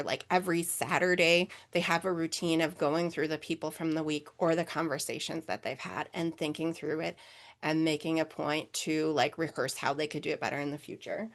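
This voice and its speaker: A woman's voice: fairly low pitch, a bit bright. A woman with a Minnesotan accent presenting a webinar over a video call, answering questions in a chatty way with many fillers. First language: English.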